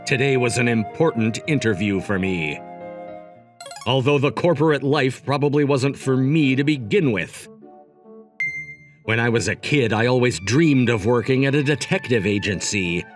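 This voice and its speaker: A man acting as a cocky young romcom lead in a manga dub, wordy and self-satisfied. His pitch is middling but swings widely through dramatic asides. Music plays behind him. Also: animated, normal speed, close to an everyday voice. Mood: wry, reflective. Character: cocky, logic-obsessed young male job seeker, teasing romcom narrator. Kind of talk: scripted manga dub narration and dialogue.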